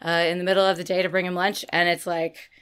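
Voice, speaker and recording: A woman hosting a book podcast, hoarse from a lost voice, chatting eagerly and laughing often. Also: fairly low pitch, level tone, quick pace, a bit bright. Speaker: podcast host. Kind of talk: casual chat about new books.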